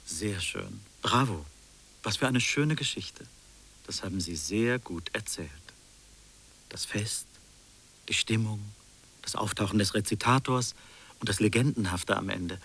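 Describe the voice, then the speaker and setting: An actor playing a mocking, superior interrogator in a literary radio drama, theatrical, moving between soft irony and sharp emphasis. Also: male voice, fairly low pitch, a little slow, vocal fry, long pauses, slight background noise. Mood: ironic praise. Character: sardonic, accusing male interrogator, mocking and superior.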